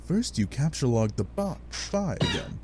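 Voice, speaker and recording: A man giving animated, sardonic narration of a comic adventure, with playful dramatic asides. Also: medium pitch, a little slow, very noisy. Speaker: narrator of a fan dub read-along.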